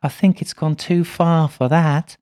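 A man's voice: on the high side, fairly even intonation, measured pace, a little mellow, pitched above the performer's own voice, close to an everyday voice. A man voicing a little girl spirit who speaks through a medium, sweet and teasing, in an audiobook. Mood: coy. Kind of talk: audiobook character voice.

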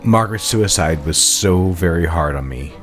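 Period romance husband, male actor: man, deep voice, devoted yet guarded, formal and courteous, music clearly underneath. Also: lively intonation, normal speed. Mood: troubled, confessing. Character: devoted, guarded new husband with troubled past. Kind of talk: audio drama dialogue, period romance.

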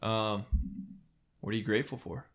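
A man's voice: fairly low pitch, fairly even intonation, moderate pace, fairly bright tone. Laid-back man hosting a chat. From Michigan. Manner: friendly, affirming, laid-back. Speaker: podcast host. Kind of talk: casual interview chat.

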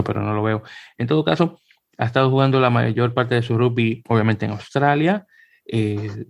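A man's voice: on the low side, creaky, dry recording. A man hosting a sports podcast, talking casually through news and often stalling on fillers and restarts. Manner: hesitant, many fillers and restarts. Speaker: podcast host.

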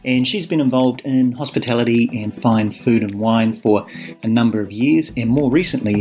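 A man hosting a podcast interview, friendly and prompting his guest with short backchannels. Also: medium pitch. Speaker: podcast host.

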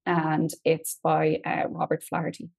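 Low-voiced young Irish woman telling stories. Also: completely flat delivery, measured pace, gravelly, husky. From Ireland. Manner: chatty, drifts between loud and quiet, laughs easily. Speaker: guest. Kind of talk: casual storytelling conversation.

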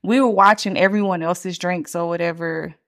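A woman's voice: on the low side, fairly even intonation, moderate pace, a bit bright. A woman, hosting a casual podcast chat, talking loosely with lots of fillers. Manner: chatty, lots of "like" fillers, laughs easily. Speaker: podcast host.

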